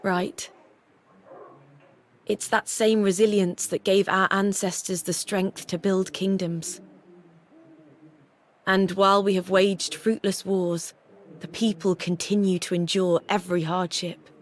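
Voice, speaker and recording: A woman's voice: medium pitch, flat delivery, slow pace, long pauses, natural voice. Earnest, courteous warrior spirit played by a woman. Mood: earnest, resolute. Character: earnest, compassionate, deferential warrior spirit serving a goddess. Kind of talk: video game cutscene acting.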